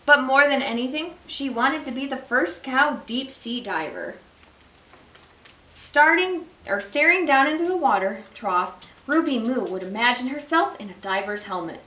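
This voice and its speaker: Woman doing kids story time, expressive reading, kinda high voice, really echoey room. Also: normal speed. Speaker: library story time reader. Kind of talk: children's storybook read aloud. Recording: roomy echo, distant microphone.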